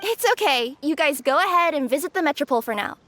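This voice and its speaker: A woman's voice: high voice, animated, quick pace, a bit bright, a little hiss or hum. A woman voicing a young cat-girl courier in an anime-style game, sweet, earnest and a little flustered. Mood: cheerful. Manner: earnest, friendly, slightly flustered.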